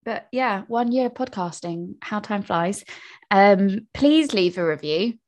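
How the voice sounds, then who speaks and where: A woman's voice: medium pitch, lively intonation, slow pace, a little mellow. A woman, co-hosting a podcast in upbeat, agreeable casual chat. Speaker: podcast co-host.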